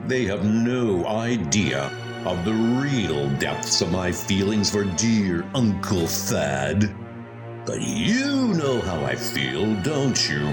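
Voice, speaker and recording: A man's voice: lowish voice, heavily acted. A man playing a scheming, pompous heir and murderer, theatrical and gloating, in a comic ghost story dub. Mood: smug mocking. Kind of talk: comic dub horror acting.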